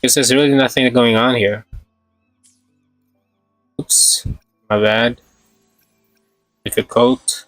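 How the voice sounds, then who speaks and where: A man's voice: mid-range voice, slow, pauses often, fairly bright tone, no echo, soft background music. A man chatting casually on a game livestream, thinking aloud in short, hesitant fragments. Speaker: game streamer. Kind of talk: casual livestream gameplay chat.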